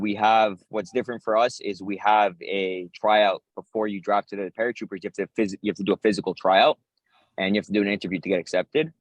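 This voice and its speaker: A young American soldier chatting fast and low in a close, dry interview, filling someone in on daily life. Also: male voice, no room sound, low-quality recording. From United States. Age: 20s. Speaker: interviewee, infantry paratrooper soldier. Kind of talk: interview, casual talk.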